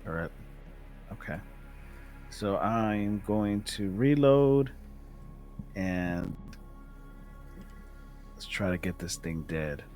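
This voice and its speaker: A man's voice: deep voice, expressive, drawn-out words, pauses often, darkish tone. A man chatting casually as a player in a tabletop game, tentatively checking how things work. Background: music.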